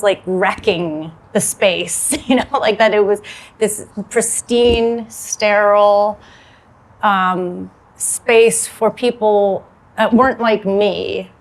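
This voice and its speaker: A woman in her forties from the United States, a novelist thinking aloud in a relaxed, reflective way and filling her talk with "like" and "you know". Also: mid-range voice, rough recording. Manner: reflective, loose with many likes and you knows. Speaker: novelist in a panel conversation. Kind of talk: casual conversation.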